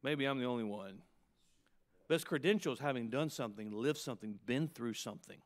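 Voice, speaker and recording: Fifties male pastor, emphatic pulpit delivery, climbs high on key points, quick asides. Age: 50s. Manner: emphatic preaching with rising, punchy peaks and quick asides. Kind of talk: sermon to pastors.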